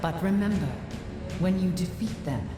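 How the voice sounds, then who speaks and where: An actress playing a solemn, wise guide in a fantasy video game, with a measured, formal and authoritative delivery. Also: female voice, fairly low pitch, natural voice. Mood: grave briefing. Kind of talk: dramatic video game acting. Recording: roomy echo, background music.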